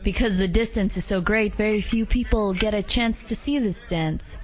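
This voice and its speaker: Woman playing a soft-spoken werewolf assassin. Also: medium pitch, normal speed, soft background music, close to an everyday voice. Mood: gentle. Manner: polite, gentle, softly coaxing. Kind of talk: hobby audio drama acting.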